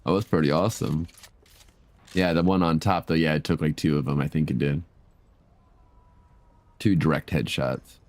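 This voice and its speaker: A man giving casual live commentary as he streams a game, laid-back, slangy and quick to swear. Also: rumbling low, fairly even intonation, dry recording.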